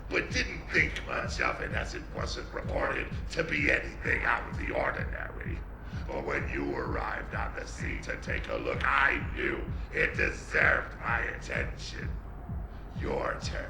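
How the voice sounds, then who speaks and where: A man playing a deformed vampire leader in an audio drama, shrewd, formal and deliberate, with a gravelly voice. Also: mid-range voice, huge pitch swings, echoey room, over music, heavily acted. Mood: ominous, calculating.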